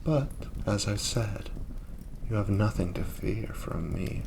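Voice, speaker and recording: Casting: male actor for an imperious, seductive demon prince, slow and theatrical, audio drama with fire ambience and noticeable background noise. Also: on the low side, animated, vocal fry, heavily acted. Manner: silky, teasing, theatrical, unhurried. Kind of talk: intimate flirtatious roleplay audio drama. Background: crackling fire ambience.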